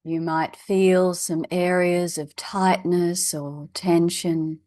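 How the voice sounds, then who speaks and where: A woman leading a guided meditation as a teacher, in a measured, instructional way with long pauses. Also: fairly low pitch, flat delivery, mellow, no room sound, clean studio sound.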